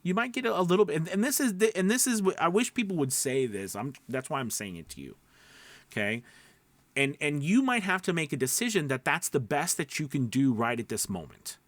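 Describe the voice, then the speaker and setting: A man's voice: fairly high pitch, big pitch swings, fairly quick, a bit bright, no echo. Emphatic male coach, dry close sound. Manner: emphatic, conversational, often checks in with "okay?" and "right?"; rambling, self-interrupting. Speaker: voice-over coach and mentor. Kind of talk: informal coaching talk.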